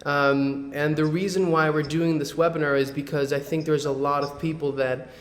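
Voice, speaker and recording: A man's voice: a little high, steady tone, normal speed, roomy sound. A man from Medellín, Colombia, hosting a real estate webinar over a video call in a loose, conversational way, with many fillers and tag questions. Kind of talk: casual presentation on a video call.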